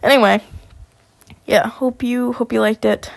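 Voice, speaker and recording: A woman who is sick, narrating an amateur audiobook and rambling casually between chapters. Her voice sits a little high with a gravelly rasp. The recording is close and dry. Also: fairly even intonation, a little slow, no room sound.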